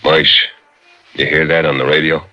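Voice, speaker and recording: An actor playing a tough, determined convict with an American accent, clipped and hard-boiled in a 1950s radio drama. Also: male voice, very deep voice, little pitch movement, moderate pace, pushed and bright, a little hiss or hum, full character voice. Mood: urgent, insistent. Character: tough, determined convict planning a prison break. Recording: muffled 1950s radio recording.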